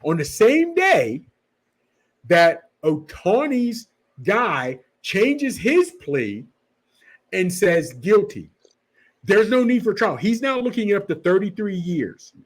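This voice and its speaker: A man in a casual sports podcast, telling stories and firing off rhetorical questions in an animated way. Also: high voice, slow.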